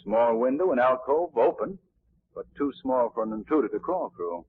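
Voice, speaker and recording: An actor playing a suave, inquisitive gentleman sleuth in a 1940s radio mystery, measured and knowing. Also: medium pitch, lively intonation, husky. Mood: knowing, probing. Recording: tinny, muddy 1940s radio recording.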